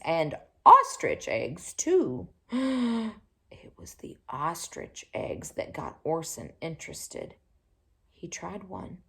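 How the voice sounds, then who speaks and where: A woman reading a children's picture book aloud in an expressive, animated way, with little asides to her listeners. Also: fairly low pitch. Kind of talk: storybook read-aloud to young children.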